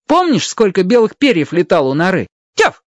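Radio-play boy: bright-spirited and friendly, raised pitch, excited yapping between phrases. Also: fairly quick, no room sound. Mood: earnest. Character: eager, friendly young boy talking in fox yaps.

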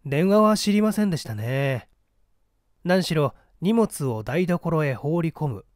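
An unruffled man in his twenties played by a male reader testifies in a mystery audiobook. He is casual and matter-of-fact, with lively intonation in a dry recording. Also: fairly high pitch, measured pace, no echo.

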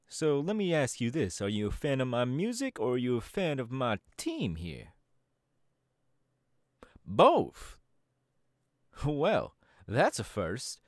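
A man's voice: medium pitch, no echo, studio quality. A man voicing a cocky young male DJ who leads a gang, boasting and talking straight to the listener in an audio roleplay. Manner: boastful, swaggering, talks to the listener. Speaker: Team Star leader in a roleplay.

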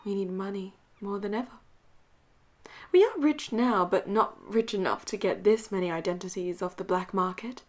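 A woman voicing a flirtatious, teasing, commanding pirate captain in an intimate audio roleplay, often dropping into breathy whispers. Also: medium pitch, expressive, moderate pace, darkish tone, lightly acted. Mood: confiding.

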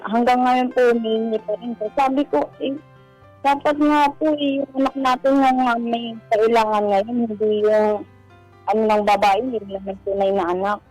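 Female Filipino caller, slightly high voice, halting and respectful, faint music underneath. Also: fairly even intonation, a little mellow, low-quality recording. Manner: polite, deferential, halting. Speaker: caller seeking advice. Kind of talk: hesitant personal account in conversation.

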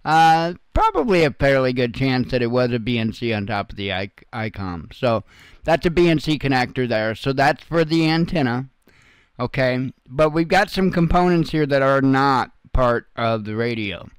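A man's voice: medium pitch, animated, normal speed, smooth, dry recording. A man hosting informal, unscripted news commentary in a blunt, folksy way with some salty language. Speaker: host of an online news commentary channel.

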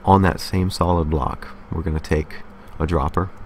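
A man's voice: low-pitched, steady tone, normal speed, slight background noise. A man hosting a casual game building tutorial, explaining methodically step by step and often checking in with a short okay. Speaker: tutorial host.

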